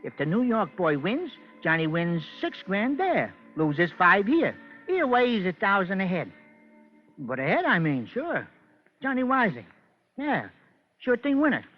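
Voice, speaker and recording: An eager tipster from a vintage radio crime play. His pitch jumps around as he insistently spells things out. The dull old recording has faint music behind it. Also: wildly swooping pitch, unhurried, full character voice. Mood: eager, confiding. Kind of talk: 1950s radio crime drama acting.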